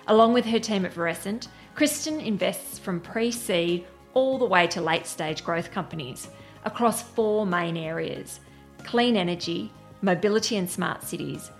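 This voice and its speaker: A woman hosting a podcast, reading a scripted introduction clearly and then asking interview questions. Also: fairly low pitch. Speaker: podcast host.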